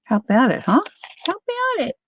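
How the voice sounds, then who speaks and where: An older woman chatting casually to viewers while she crafts, thinking out loud as she works. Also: sits high, huge pitch swings, a little fast, a little hiss or hum. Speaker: craft livestreamer. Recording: dull lo-fi dry sound.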